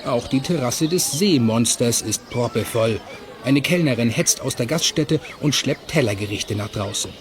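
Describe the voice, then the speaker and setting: Animated male storyteller over music. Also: medium pitch, normal speed. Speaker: narrator. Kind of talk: scripted children's story narration, present tense.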